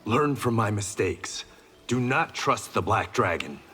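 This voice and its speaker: Low, echoing stern swordsman. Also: sing-song, a little slow, pushed and bright, husky, heavily acted. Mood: grave. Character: stern ex-gangster swordsman father, weary and wise. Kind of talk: video game voice acting.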